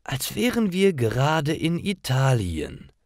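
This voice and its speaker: An emphatic young woman from a storybook, acted out by a man narrator. The pitch is lifted well up and swings freely, with words drawn out for weight. The sound is dry and close. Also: huge pitch swings, takes it slowly, dry recording, exaggerated voice. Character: young woman on a cycling holiday, exclaiming and explaining.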